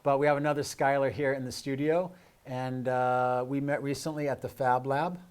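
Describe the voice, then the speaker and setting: A man hosting a casual live interview, speaking in a halting, loosely improvised way. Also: medium pitch, flat delivery, smooth. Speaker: show host.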